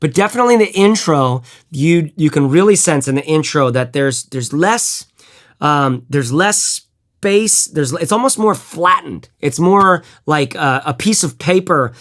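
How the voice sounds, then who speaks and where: A man with a fairly high-pitched voice talks through his gear review in a relaxed, off-the-cuff way. He rambles, trips over his own restarts and drops the odd swear word. Now and then he hums a bit of music to show what he means. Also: unhurried. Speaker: headphone reviewer. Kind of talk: casual gear review chat.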